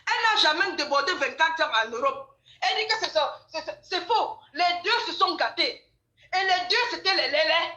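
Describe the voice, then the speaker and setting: A woman telling gossip in a casual chat, animated and repeating phrases for emphasis. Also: extremely high pitch, moderate pace. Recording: echoey room.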